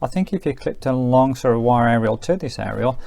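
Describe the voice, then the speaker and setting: A man's voice: mid-range voice, lively intonation, brisk, vocal fry, warm tone. A man giving a casual hands-on gadget review, thinking aloud in an informal, hedging way. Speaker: product reviewer.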